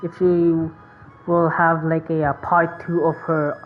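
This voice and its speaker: A woman's voice: deep voice, little pitch movement, takes it slowly, soft background music. A woman streaming a game with casual commentary, sometimes reading the dialogue aloud. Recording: thin phone-like sound, background noise.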